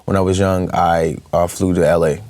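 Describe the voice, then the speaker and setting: Deep-voiced young man. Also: level tone, normal speed, a little mellow, no room sound. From Brooklyn, New York. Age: young adult. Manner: hesitant, many fillers, laughs easily. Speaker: guest. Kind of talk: casual interview chat.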